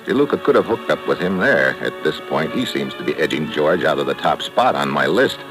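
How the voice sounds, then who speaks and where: Hardboiled American agent, deep and dry, wisecracking first-person radio drama delivery over prominent music. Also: male voice, expressive, brisk. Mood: tense, hardboiled. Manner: dry, sardonic, clipped. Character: tough, wisecracking male American secret agent narrating his case. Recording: vintage radio recording with loud music.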